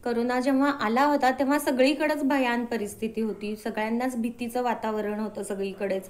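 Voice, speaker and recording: A woman, a doctor answering interview questions in an explanatory way, mixing in English medical terms. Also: a little high. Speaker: doctor, podcast guest.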